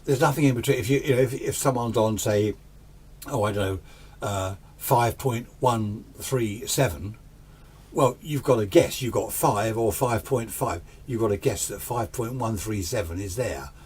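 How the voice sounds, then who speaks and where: A man's voice: fairly low pitch, normal speed, a little mellow, husky. A man in his sixties giving an informal, unscripted explainer as a radio hobbyist, rambling conversationally with little questions to himself. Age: 60s. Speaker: amateur radio hobbyist presenter.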